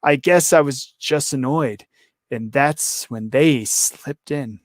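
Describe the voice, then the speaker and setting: A hoarse man reading a calm, gleeful male serial killer in custody, slow, low and matter-of-fact. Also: no room sound, clean studio sound, close to an everyday voice.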